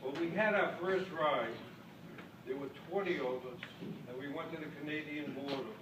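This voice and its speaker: A man's voice: a little high, moderate pace. Older man with a Maine accent. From Boston. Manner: folksy, self-deprecating, jokes with the crowd. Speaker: speaker at a club convention. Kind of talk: after-dinner speech, storytelling. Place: large hall with an audience. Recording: echoey hall, distant mic, loud background noise.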